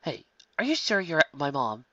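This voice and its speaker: A man voicing a frantic, shouting starship captain. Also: on the high side, sing-song, moderate pace, no room sound, highs cut off. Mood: bewildered. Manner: overwrought, shouting protests. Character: brash, dramatic male starship captain.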